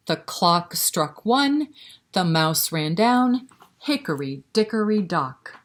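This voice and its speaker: Woman, lowish pitch, children's storytime reader, encouraging, interactive, rhythmic nursery-rhyme delivery. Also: lively intonation, slow pace. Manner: encouraging, interactive, talking directly to young children.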